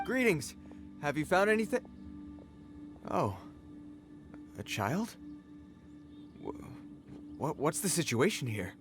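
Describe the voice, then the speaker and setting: An actor playing a cautious, dutiful soldier in a video game, formal in speech and a little awkward and hesitant. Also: male voice, on the high side, sing-song, takes it slowly, long pauses, music in the background. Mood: puzzled.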